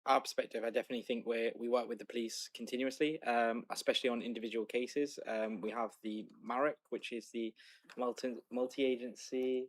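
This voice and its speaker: Councillor, very flat voice, lots of ums, dry sound. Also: medium pitch, a little fast, dry recording, rough recording. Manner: hesitant, heavy on fillers. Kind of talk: answering questions at a council meeting. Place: council meeting chamber.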